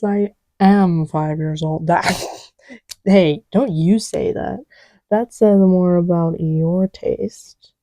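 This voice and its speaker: A woman playing a playful girlfriend in an intimate ASMR roleplay, rambling casually and giggly, often dropping to soft murmurs close to the microphone. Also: mid-range voice, no room sound. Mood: giggly, amused, then playfully indignant. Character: giggly, playful girlfriend testing markers on boyfriend's lap.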